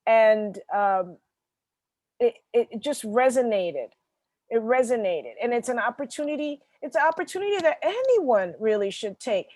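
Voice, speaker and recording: A woman's voice: on the high side, animated, moderate pace, a little mellow, no room sound, studio quality. A woman hosting an interview podcast, talking in an agreeable, conversational way and often repeating phrases. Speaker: podcast host.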